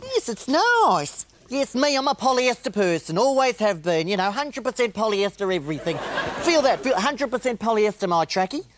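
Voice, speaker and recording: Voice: medium pitch, sing-song, moderate pace, background noise, lightly acted. An actor playing a vain older former tracksuit model who rambles on, pleased with herself. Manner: chatty, self-satisfied, rambling. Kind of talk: sketch comedy acting.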